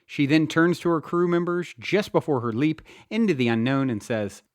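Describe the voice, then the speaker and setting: A man's voice: on the high side, normal speed, clean tone, dry recording, clean studio sound. A man hosting and narrating spiritual self-help material in an earnest, emphatic way, as an author presenting his own work. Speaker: podcast host and author reading own book. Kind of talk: spiritual self-help audiobook narration with casual podcast introduction.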